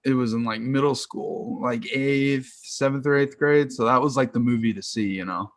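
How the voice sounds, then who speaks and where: Male podcast co-host, mid-twenties, slightly low voice, rambling and enthusiastic, slangy. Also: moderate pace, no room sound. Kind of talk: casual film chat. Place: remote video call.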